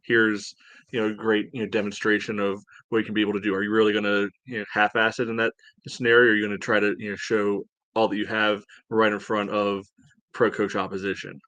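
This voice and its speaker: A man gives casual sports analysis in a fairly low voice. His intonation stays flat while his phrasing is loose and conversational. The sound is close and dry. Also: fast talker, dry recording. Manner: conversational, peppered with "you know". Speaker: soccer podcast co-host.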